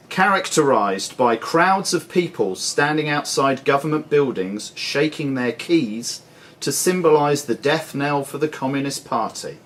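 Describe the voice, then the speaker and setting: Quizmaster over noticeable background noise. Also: male voice, medium pitch. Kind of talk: reading quiz questions at a live club quiz. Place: sports and social club room. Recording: clearly noisy live club recording.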